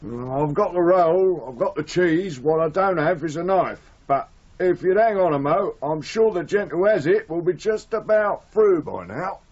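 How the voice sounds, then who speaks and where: A man's voice: a little high, lively intonation, normal speed, a little mellow. An actor playing a chatty, affable and folksy café counterman in a radio drama. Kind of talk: 1980s British radio drama acting. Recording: slightly dull archive radio recording.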